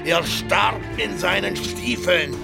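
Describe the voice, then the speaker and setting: A man's voice: sits high, slow, vocal fry, heavily acted. An actor playing a defiant male gunslinger hero in a Western radio drama, with terse, commanding delivery. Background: music.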